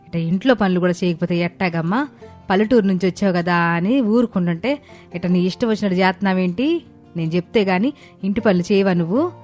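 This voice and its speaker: A woman's voice: lowish voice, animated, fast talker, faint music behind, close to an everyday voice. Woman playing a nagging, stingy older mother-in-law. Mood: angry nagging. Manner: nagging, sharp, accusatory. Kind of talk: dramatised moral story acting.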